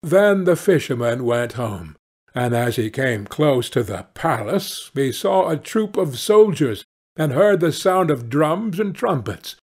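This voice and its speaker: A man narrating a fairy-tale audiobook in a measured, expressive storytelling manner. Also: a little high, husky.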